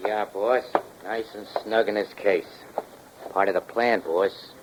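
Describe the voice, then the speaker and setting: A man's voice: lowish voice, fairly even intonation, a little slow, lightly acted. An actor playing a loyal, surly thug with slangy tough-guy talk in a 1940s radio crime drama. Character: loyal, surly male thug serving his boss. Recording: very noisy old 1940s radio recording.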